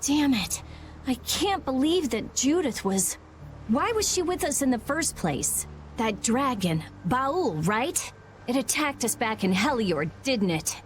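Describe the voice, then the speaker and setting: Game role: brainy, short-tempered teen girl mage, highish voice, sharply varied intonation, faint ambience. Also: very animated, slow pace, slight background noise, exaggerated voice. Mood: angry. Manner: blunt, testy. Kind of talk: video game voice acting.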